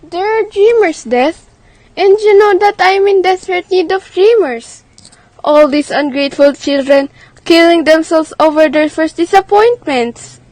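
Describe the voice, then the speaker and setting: A woman's voice: extremely high pitch, a little slow, darkish tone, dry recording, poor audio. A woman playing Life, a tender-hearted, hopeful figure with an earnest, pleading delivery in a radio drama.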